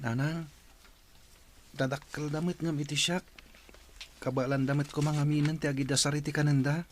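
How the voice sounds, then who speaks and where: A dutiful young son played by a man with a fairly high male voice. He sounds earnest, hurt and pleading, in the manner of a radio drama. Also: flat delivery, moderate pace, a little hiss or hum, lightly acted.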